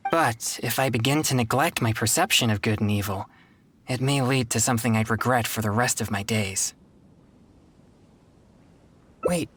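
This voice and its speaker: A man voicing an earnest, principled young exorcist in a video game, speaking politely in formal, measured wording. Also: mid-range voice, close to an everyday voice. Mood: taken aback.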